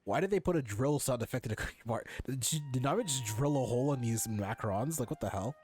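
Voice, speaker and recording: A man's voice: mid-range voice, animated, quick pace, darkish tone, slightly rough. A young man commenting casually on a video game, joking and incredulous, with plenty of swearing. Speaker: game streamer. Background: game music.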